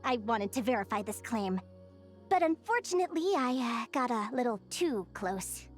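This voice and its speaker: Actress as cheerful young naturalist for a game: high-pitched, very lively intonation, earnest and chatty. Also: female voice, moderate pace, natural voice. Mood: earnest, rueful. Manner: expressive, earnest, chatty, with small hesitations. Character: cheerful young female naturalist adventurer, eager storyteller. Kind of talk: animated video game character dialogue. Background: background music.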